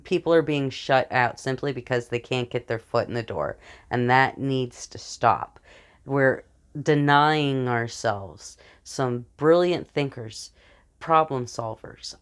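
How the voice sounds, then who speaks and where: A woman's voice: extremely low pitch, clean tone. An American woman giving an informal political speech from notes in an earnest, explanatory manner. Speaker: speaker announcing a political platform.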